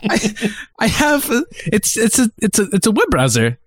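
A man's voice: high-pitched, wildly swooping pitch, normal speed, dry recording, clean studio sound. A man co-hosting a podcast, chatting casually in loose, informal phrasing. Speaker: podcast co-host. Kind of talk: casual chat.

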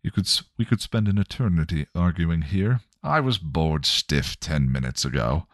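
Guy doing a cocky sneery vampire, super low voice. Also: expressive, moderate pace, dry recording, studio quality. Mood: sneering. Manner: cocky, slangy, rough-edged. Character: coarse sneering male vampire with a demon inside.

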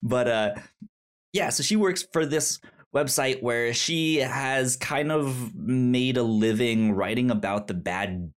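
A man co-hosts a casual film discussion podcast at a slow, unhurried pace. His delivery is halting, and he often repeats syllables and word starts. His pitch sits in the middle range for a man. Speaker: podcast co-host.

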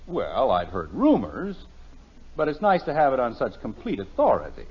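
An exasperated family man with a slightly husky, very animated voice. His dry, mock-formal sarcasm comes through a muffled old radio comedy recording. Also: medium pitch, normal speed. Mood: dry, impatient. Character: exasperated, sarcastic suburban father of three. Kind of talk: vintage radio sitcom acting.

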